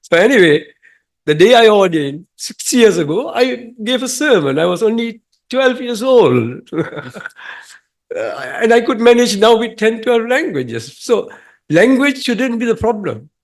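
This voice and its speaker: Young monk guy from singapore, fairly high voice, earnest and emphatic, lots of uhs. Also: big pitch swings. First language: English. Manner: earnest, emphatic, halting with fillers. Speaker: monk asking a question from the audience. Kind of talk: audience comment at a talk.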